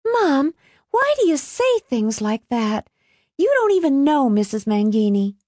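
A woman's voice: high voice, big pitch swings, measured pace, a little mellow, no echo, studio quality, voice pushed up. A woman voicing a bitter, strained mother in a storybook reading, with an emphatic, wounded manner. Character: bitter, strained small-town mother, brittle and blurting.

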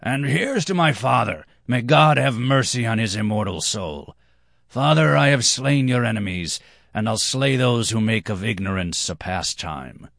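A man's voice: lowish voice, expressive, moderate pace, creaky, a bit bright, dry recording, studio quality, voice pushed up, full character voice. A man voicing a drunken, boastful, hot-tempered red-haired banker.

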